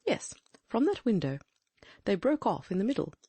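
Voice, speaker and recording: Female narrator as quick-witted male detective, commanding, brisk and inquisitive, husky, dry recording, audiobook story. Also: mid-range voice, sing-song, dark tone, dry recording.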